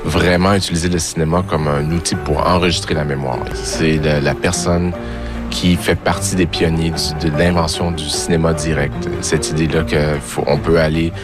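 A man with a very deep voice speaks reflectively, pausing with fillers. Loud music plays under his voice throughout. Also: level tone.

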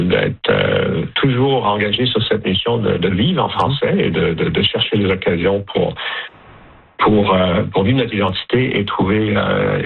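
A man's voice: on the low side, gravelly, breathy edge. A man from Alberta with a Canadian French accent, answering radio interview questions with many hesitations and restarts. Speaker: interview guest, director general of a francophone federation. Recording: lo-fi phone-line radio with faint music.

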